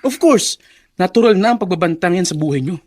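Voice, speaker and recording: A young adult politician's son in a Filipino radio drama. His voice is high for a man, cool and self-assured, and he slips English phrases into his cautioning advice. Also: lively intonation, a little fast, darkish tone. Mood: breezy. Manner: mixes English phrases into his speech, advising.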